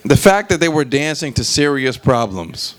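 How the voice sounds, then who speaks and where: A man performing conversational stand-up at a live open mic, animated and sweary, often checking in with the audience using short questions. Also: mid-range voice, moderate pace. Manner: animated, swearing, frequent rhetorical check-ins to the crowd. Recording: clearly noisy live open mic recording.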